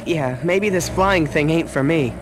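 A man's voice: on the high side, measured pace, lightly acted. A man playing an earnest, plucky young dragon hero in a video game, boyish, with dry retorts. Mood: sheepish. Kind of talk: video game cutscene acting. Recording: music behind the voice.

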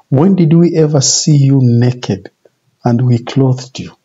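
A man in his forties giving an informal sermon in a deliberate, repetitive, emphatic way. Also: medium pitch, mellow, breathy edge. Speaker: religious video presenter. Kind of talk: informal sermon, retelling scripture. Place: small indoor office. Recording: muffled, dull home video audio.

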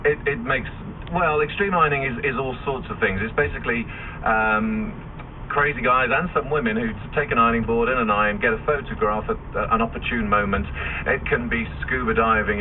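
A man's voice: mid-range voice, brisk. A man, giving casual, self-deprecating answers as a radio interview guest. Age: 40s. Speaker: interview guest, endurance runner. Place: radio studio. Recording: distant, phone-like radio recording, faint noise.

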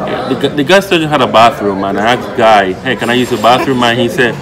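A man chatting casually to viewers as a travel vlogger in a low-key, conversational way. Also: quick pace. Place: inside a restaurant. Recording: music playing behind the voice. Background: music.

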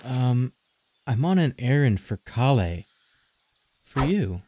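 A man's voice: mid-range voice, big pitch swings, takes it slowly, warm tone, slight background noise. A man voicing a young wandering warrior in a comic dub, easily startled, sarcastic and exasperated in a casual way. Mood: hesitant. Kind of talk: animated comic dub acting.

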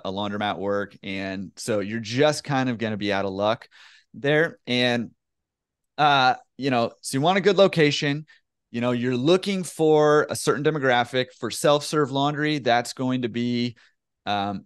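A man in his thirties, a guest on a video call, answering in a relaxed, conversational way full of "you know" fillers. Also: medium pitch, dry recording, studio quality. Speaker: laundromat owner, guest. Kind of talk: casual interview answer. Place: home room with bookshelf. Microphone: headset with desk mic on video call.

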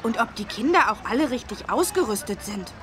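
A woman's voice: on the high side, lively intonation, brisk, a crowd in the background, natural voice. A woman voicing a cheerful, curious little boy who eagerly explains things in a children's game. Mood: eager, friendly. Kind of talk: children's educational game voice acting.

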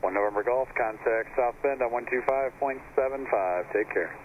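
A man's voice: mid-range voice, normal speed. A man working as an air traffic controller, giving clipped, procedural instructions over radio. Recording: tinny, noisy aviation radio.

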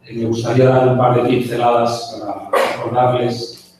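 A man in his sixties with an accent from Spain, giving a conference lecture in a measured way with hesitant pauses. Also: mid-range voice, little pitch movement, darkish tone. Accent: Spanish from Spain. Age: 60s. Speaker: guest lecturer, chemist. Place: university auditorium, indoors. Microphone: handheld mic, picked up by distant camera. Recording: echoey hall from far off, faint noise.

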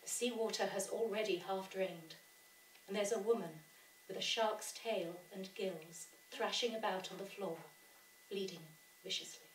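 Female author, dramatic and deliberate story reading, roomy stage sound with audible hiss. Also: medium pitch. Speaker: author reading at a literary event. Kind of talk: dramatic reading of prose fiction. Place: on a theatre stage. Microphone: gooseneck lectern microphone. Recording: roomy theatre, distant, hissy.

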